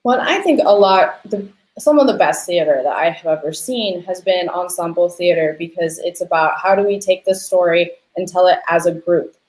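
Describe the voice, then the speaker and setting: A woman's voice: fairly low pitch, moderate pace. A woman hosting a conversational panel interview, effusive in how she talks. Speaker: host, interviewer. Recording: echoey room sound.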